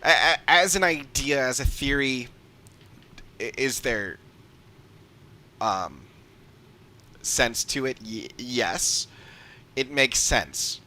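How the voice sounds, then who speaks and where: A man's voice: medium pitch, expressive, slow pace, long pauses, bright, forceful tone. A man giving casual, off-the-cuff livestream commentary as a game streamer, emphatic and repeating points for stress.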